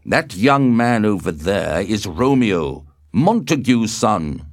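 A man voicing a proud, vengeful young nobleman in a storybook, indignant and deliberate. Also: on the low side, sing-song, no room sound, clean studio sound, full character voice.

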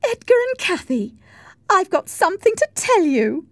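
A woman's voice: very high-pitched, big pitch swings, moderate pace, a bit bright, no echo, pitched above the performer's own voice, heavily acted. A woman voicing a naive, infatuated eighteen-year-old young lady in an audiobook, petulant and emphatic. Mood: earnest. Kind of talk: audiobook character voice.

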